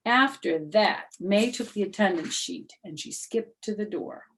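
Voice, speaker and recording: A woman's voice: mid-range voice, measured pace, slight background noise, rough recording. Mature woman reading a children's storybook. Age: middle-aged or older. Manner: animated emphasis, chatty asides to young listeners. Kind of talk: expressive children's book read-aloud.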